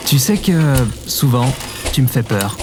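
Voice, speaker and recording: Casting: man as curious, enthusiastic adventurer for audio drama, average-pitched voice, informal and friendly. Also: sing-song, unhurried, very noisy. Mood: curious, teasing. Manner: casual, enthusiastic, questioning. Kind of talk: audio fiction acting.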